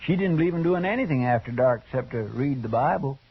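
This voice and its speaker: A creaky, folksy western deputy. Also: male voice, medium pitch, expressive, fast. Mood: sheepish, amiable. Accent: rural American. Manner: drawling, homespun. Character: folksy, deferential, eager marshal's deputy. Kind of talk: 1950s radio western acting. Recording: muffled old radio recording.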